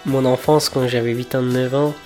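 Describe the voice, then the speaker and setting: Twenty-something guy from afghanistan, cook, talks slow and thoughtful, faint music under him. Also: mid-range voice, flat delivery, a little mellow. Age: 20s. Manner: reflective, unhurried, with searching pauses and restarts. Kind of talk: personal storytelling for a documentary portrait.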